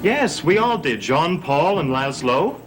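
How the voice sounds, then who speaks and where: An anxious, defensive man with a fairly high voice in a vintage gothic TV drama. He is guarded and edgy, with faint music behind him. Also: very animated, slow, rough recording. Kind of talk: 1960s TV soap acting.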